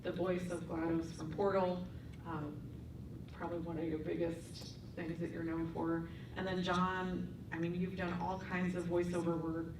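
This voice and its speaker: A woman's voice: lowish voice, level tone, moderate pace. Woman interviewer in a roomy hall. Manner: admiring, curious. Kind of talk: stage interview. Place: performing arts center stage. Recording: echoey hall, distant mic, audible hum.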